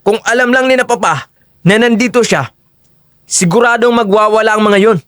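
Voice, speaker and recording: A man's voice: sits high, moderate pace, fairly bright tone, clean tone, no room sound, clean studio sound. A man acting the young brothers and friend of a Filipino audio drama with emphatic, theatrical delivery. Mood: angry, confrontational.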